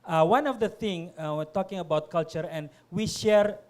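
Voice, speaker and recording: A middle-aged man from Indonesia, a guest on a panel talk, speaking haltingly with many fillers and restarts. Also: high voice, darkish tone. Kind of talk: panel conversation.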